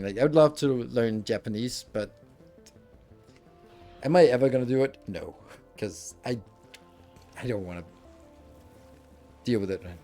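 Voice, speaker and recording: A man's voice: medium pitch, mellow. A middle-aged man from Denmark with a Danish accent, chatting casually and self-deprecatingly with viewers during a game stream, rambling with restarts. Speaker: gaming livestream host. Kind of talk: casual chat with viewers while gaming.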